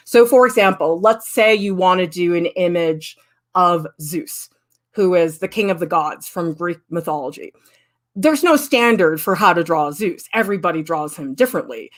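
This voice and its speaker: A middle-aged woman art professor giving a candid, lively livestream critique and answering viewers' questions. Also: lowish voice. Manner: candid, lively, emphatic, with frequent "I mean".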